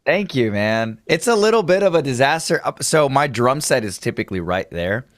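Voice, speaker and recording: A man's voice: mid-range voice, sing-song, moderate pace, a little hiss or hum. A man, chatting casually as a drummer guest on a video call, talkative and friendly with many fillers and restarts. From Houston, Texas. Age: late 20s. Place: home music studio room.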